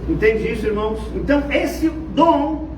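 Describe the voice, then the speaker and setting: A Brazilian man with a Brazilian Portuguese accent preaching a fervent sermon, dropping to low murmurs and rising to shouts. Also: moderate pace. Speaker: pastor. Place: church. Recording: echoey, distant, noisy church hall.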